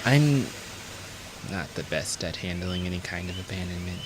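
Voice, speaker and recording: A man's voice: deep voice, lively intonation, moderate pace, noisy background, voice pushed down. A man voicing a touchy old female railway coach. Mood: apologetic. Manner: sharp, resentful, then apologetic. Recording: music clearly behind.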